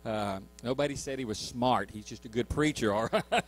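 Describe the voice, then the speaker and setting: A man of middling pitch introduces the next speaker at a church men's retreat. He teases in a folksy way and urges the crowd to praise. He speaks into a handheld mic in a small hall. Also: normal speed, soft background music. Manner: folksy and teasing, rallies the crowd. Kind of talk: warm-up introduction.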